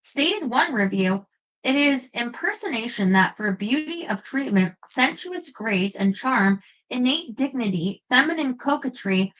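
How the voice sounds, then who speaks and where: A woman reads a biography aloud, taking her time and giving the lines expression. Her voice sits a little high and has a bright, forward edge that keeps the narration clear. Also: rough recording.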